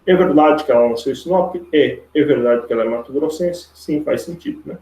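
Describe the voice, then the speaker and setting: A Brazilian man with a creaky voice teaching a live online logic lesson step by step, informally, repeating himself and checking for agreement with tag questions. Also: medium pitch, a little fast, mellow. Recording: roomy, echoey online class audio.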